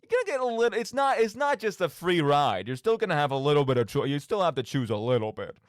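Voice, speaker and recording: A man's voice: a little high, sing-song, fast talker, no room sound, clean studio sound. A man hosting a radio show, giving casual solo commentary on games in an emphatic, rambling way, often interrupting himself.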